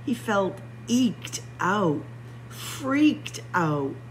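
Librarian, woman, slow and theatrical read-aloud, wide-ranging lowish voice, faint hum behind. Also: low-quality recording. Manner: dramatic, expressive storytelling with spooky whispers. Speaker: children's librarian. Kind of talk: picture book read-aloud. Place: library with bookshelves.

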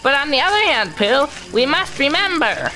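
A woman voicing a small, timid, eager young pig in an audio drama, fussy and quick to chime in. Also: high-pitched, very animated, sharp tone, faint music behind, exaggerated voice, low-quality recording.

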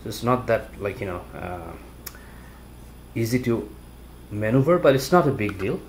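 A man giving a casual product review in his living room, talking in a halting, plainspoken way with many fillers, recorded on a camera set on a table. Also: lowish voice, very animated, a little hiss or hum. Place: living room at home, indoors.

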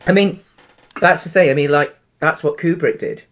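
A man's voice: a little high, normal speed, a bit bright. A man, co-hosting a casual film chat over a video call, rambling through restarts. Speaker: podcast co-host. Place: home room on a video call.

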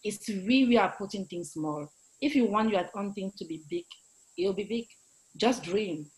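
A woman's voice: medium pitch, animated, moderate pace, a little mellow, low-quality recording. A middle-aged woman whose first language is French, giving an emphatic motivational talk on a video call from a room at home. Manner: emphatic, gestures a lot, exhorting. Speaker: guest speaker, entrepreneur. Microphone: video call webcam.

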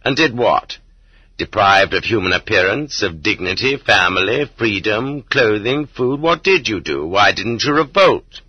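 Man putting on a smug, pedantic historian, low-voiced. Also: expressive, a little slow, slightly rough, no room sound, muffled recording. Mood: skeptical, scolding. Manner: condescending, lecturing. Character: smug, pedantic educated male Marxist historian, dogmatically dismissive.

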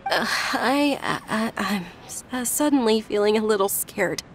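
A woman playing a gentle, timid young noblewoman in a video game, polite and refined. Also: medium pitch, lively intonation, moderate pace, slight background noise, lightly acted. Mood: uneasy.

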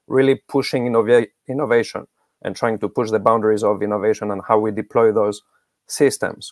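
A man's voice: fairly low pitch, dry recording, clean studio sound. A middle-aged man giving explanatory interview answers as a guest expert on a video call. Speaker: guest expert, academic researcher.

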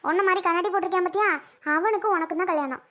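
Casting: woman to play blunt older village mother-in-law, high-pitched, southern Tamil Nadu accent, scolding and demanding, for family drama. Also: a bit bright. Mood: indignant, scornful. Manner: scolding, rapid-fire rhetorical questions.

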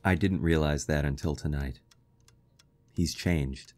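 A man playing a grave, protective young fiancé who narrates and acts in a horror radio drama, in measured speech. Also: extremely low pitch, a little mellow. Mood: concerned, urgent.

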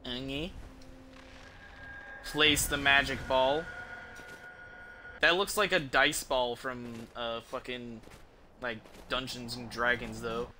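A man's voice: medium pitch, fairly even intonation, takes it slowly, pauses often, bright, forceful tone, slight background noise. A man giving casual live commentary on a game he is streaming, crude and offhand. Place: small indoor room at home. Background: game music and sounds.

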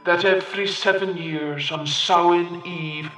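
A man playing a gentle, burdened young knight under a fairy spell, speaking softly and formally. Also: high voice, steady tone, measured pace. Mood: grave. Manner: soft, grave, formal old-fashioned speech. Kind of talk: storytelling. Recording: roomy, distant mic with music.

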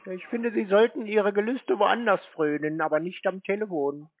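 A man in a phone conversation, answering in a laconic, deadpan manner. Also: high voice, moderate pace. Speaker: prank scam-baiter taking calls. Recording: narrow-band dry phone recording.

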